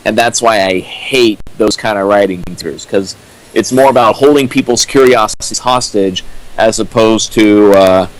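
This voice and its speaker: A man's voice: lowish voice, moderate pace, rough recording. A young man holding forth on writing theory in a casual stream chat, earnest and full of fillers. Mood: lecturing. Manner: earnest lecturing with many fillers. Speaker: co-host riffing a fanfic. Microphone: home mic on a stream.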